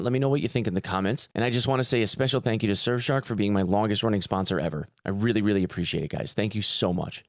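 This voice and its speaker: A man's voice: lowish voice, no room sound, studio quality. A man hosting a gaming news video in a chatty, informal way with playful emphasis. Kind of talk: casual news commentary with sponsor read.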